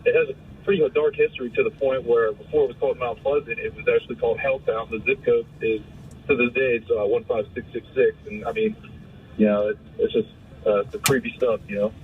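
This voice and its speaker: An American man calling in to a radio show, telling an earnest story in a halting, hesitant way over the phone. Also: medium pitch, fairly even intonation. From Pennsylvania, United States. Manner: hesitant, halting, pauses often. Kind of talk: earnest anecdote told on a call-in show. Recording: distant, noisy phone call.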